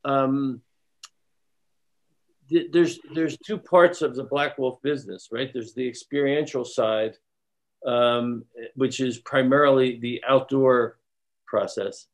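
A man's voice: medium pitch, fairly even intonation, slow pace, pauses often. A man, a consultant guest in an interview, explaining his work step by step with some restarts.

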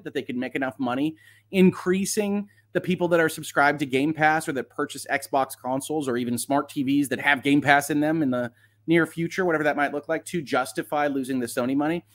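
Higher-voiced man giving analytical commentary. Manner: analytical, measured hedging, lawyerly vocabulary. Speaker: host, legal commentator. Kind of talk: live off-the-cuff legal commentary, reading and reacting.

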